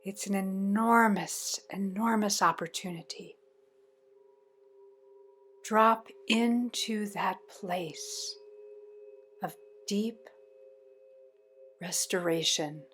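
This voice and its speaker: Very slow-paced woman guiding a meditation. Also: medium pitch, a bit bright, slight background noise. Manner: measured, pausing between short phrases. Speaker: meditation guide. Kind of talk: guided meditation, slow spoken phrases.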